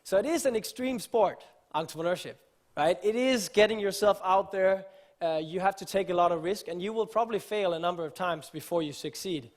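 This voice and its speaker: A man's voice: sits high, brisk. Earnest man speaking on stage. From Denmark. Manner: earnest, explanatory, with frequent fillers and restarts. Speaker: conference speaker. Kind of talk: live talk on stage. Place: conference stage before an audience.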